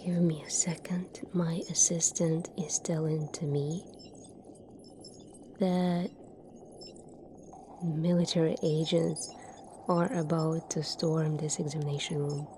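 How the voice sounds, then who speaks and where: A woman performing an ASMR sci-fi roleplay as a calm, secretive military doctor, speaking softly and deliberately, at times near a whisper. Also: sits low, background noise.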